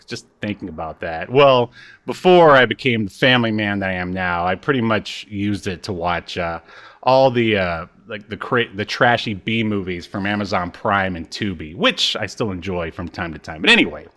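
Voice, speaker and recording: Male podcast co-host, lower register, informal TV chat, halting and hesitant delivery. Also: expressive, a bit bright. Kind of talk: casual chat about a TV show.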